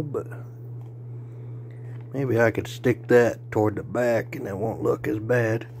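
A man, hoarse and losing his voice, chatting in a folksy, joking way while vlogging on a phone held at arm's length. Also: medium pitch, a little mellow, slight background noise. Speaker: railfan vlogger. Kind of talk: casual folksy vlog. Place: outdoors by a car, then indoors at home.

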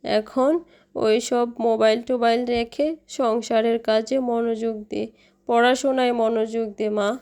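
Cartoon mother, acted by a woman: middle-aged, caring yet scolding, mid-pitched with some vocal fry. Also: level tone, normal speed, a little mellow, lightly acted. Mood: forgiving, relieved. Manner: admonishing, motherly.

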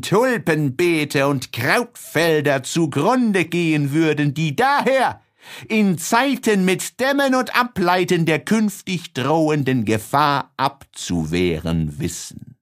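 A man reading literary prose as scripted audiobook narration in an expressive, declamatory manner. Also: sits high. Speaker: audiobook reader.

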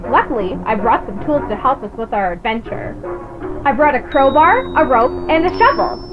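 A chirpy young girl hosting a children's cartoon. Her voice is high and very animated, instructing the viewer with a subtle menace. It plays through dull, lo-fi tape audio over clear music. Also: normal speed. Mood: upbeat, instructive. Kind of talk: children's educational cartoon show.

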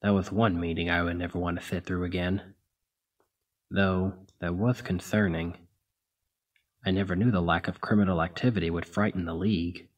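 A man playing a gruff, protective demigod hero in an ASMR roleplay, scolding yet caring, with a husky voice. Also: deep voice, flat delivery, moderate pace, lo-fi sound. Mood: brooding. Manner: exasperated, bossy, caring.

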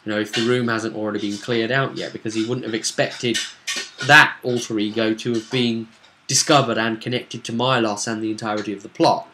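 A British man in his thirties casually recounting a tabletop game to camera in a halting, unscripted way, in a small room at home. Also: on the low side, fairly even intonation, background noise. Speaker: game master recapping a tabletop role-play session.

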